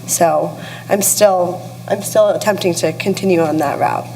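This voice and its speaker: A woman from Texas speaking as a panelist at a live event, reflective and pausing often to restart her thoughts. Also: lowish voice, crowd noise, rough recording. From El Paso, Texas. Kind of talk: panel discussion.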